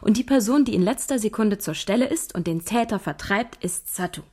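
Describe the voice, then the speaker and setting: An actress playing a shy young woman scriptwriter who narrates her story and eagerly pitches plot twists in a radio play. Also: animated, fairly quick, darkish tone, natural voice. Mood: telling.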